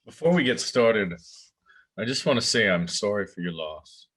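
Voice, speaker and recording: A man's voice: fairly low pitch, very animated, moderate pace, a bit bright, breathy edge, heavily acted. A man playing a polite, formal police officer in a table read of a drama script.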